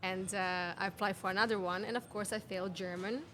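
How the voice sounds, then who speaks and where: A woman's voice: medium pitch, fairly even intonation, brisk, fairly bright tone, a little hiss or hum. A woman in her thirties from Serbia, a non-native English speaker with a Serbian accent, talking earnestly as a podcast guest and explaining health ideas through strings of questions. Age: 30s. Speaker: guest, women's health mentor. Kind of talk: conversational podcast interview. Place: cafe.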